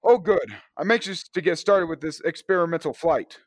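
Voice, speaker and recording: A man's voice: a little high, animated, normal speed, no room sound, clean studio sound. A man acting an eager, impatient space adventurer in an old-time radio drama. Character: eager, impatient male space adventurer hero, brushing off fuss. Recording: clearly noisy background ambience.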